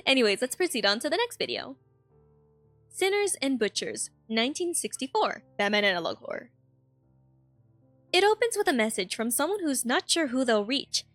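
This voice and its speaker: A woman's voice: sits high, a bit bright, no room sound. A woman hosting a video analysis, narrating a script in a casual, conversational way with dry joking asides to viewers. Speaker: host.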